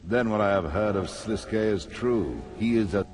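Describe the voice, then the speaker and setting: Man voicing a deep, echoing, haughty god. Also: a little slow, a little mellow, slight background noise, close to an everyday voice. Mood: stern, haughty. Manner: imperious, formal. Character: righteous, haughty male god, protective and stern. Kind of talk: video game cutscene acting.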